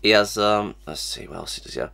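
Young male host with a cold, fairly low voice, halting and repetitive delivery, recorded at a desk webcam. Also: vocal fry, pushed and bright, clear, resonant tone. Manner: stammering, many restarts and fillers. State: has a cold, sniffly. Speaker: reaction channel host. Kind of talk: casual reaction vlog. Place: small cluttered room indoors.

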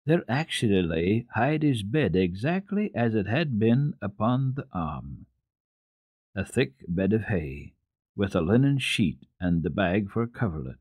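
A man giving an expressive, dramatic reading of classic children's literature as a radio storyteller, with deliberate phrasing. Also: fairly low pitch, slightly rough, no room sound, lo-fi sound.

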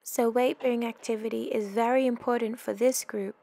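Gravelly-voiced woman giving a measured lecture. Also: fairly high pitch, fairly even intonation, mellow. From United Kingdom. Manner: measured, instructional, asks the listener questions. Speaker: fitness course tutor. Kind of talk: scripted slideshow lecture narration. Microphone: voice-over.

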